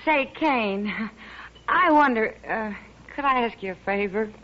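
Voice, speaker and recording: A woman's voice: fairly high pitch, lively intonation, unhurried, a bit bright. An actress playing a friendly, curious frontier town woman in a 1950s radio western, on a muffled old recording. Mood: friendly, interested.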